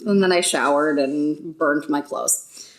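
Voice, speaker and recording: A woman from Ontario, Canada, guest co-hosts a casual podcast from a bedroom. Her voice sits a little low. She talks quickly and teases, laughing often. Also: low-quality recording. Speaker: guest co-host. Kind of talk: casual chat.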